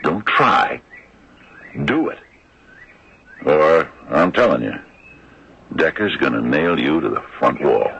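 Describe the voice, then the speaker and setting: An actor playing a gruff, uneasy small-town sheriff with a gravelly voice in an old-time radio drama. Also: male voice, very deep voice, lively intonation, slow, pauses often, a bit bright, exaggerated voice. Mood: menacing, pressuring. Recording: muffled, noisy old radio recording.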